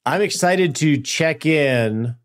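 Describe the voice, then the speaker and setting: A man's voice: a little high, animated, slow. An American man hosting a podcast, asking questions in a conversational interview style. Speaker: podcast host interviewing guests.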